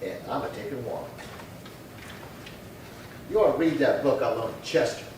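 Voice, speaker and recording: A crafty frontier doctor acted by a man, folksy and exclamatory, mid-pitched. He performs western radio drama, distant in a very echoey space. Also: expressive, normal speed, a little mellow, close to an everyday voice. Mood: gleeful, needling. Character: chuckling, crafty, folksy Old West town doctor. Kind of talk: old-time western radio acting. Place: live theatre stage performance. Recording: very roomy, distant stage pickup, faint noise.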